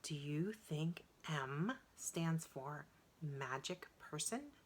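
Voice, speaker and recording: A woman's voice: low-pitched, animated, drawn-out words, exaggerated voice. A woman voicing a plucky, matter-of-fact young girl in a children's storybook, breezy and emphatic.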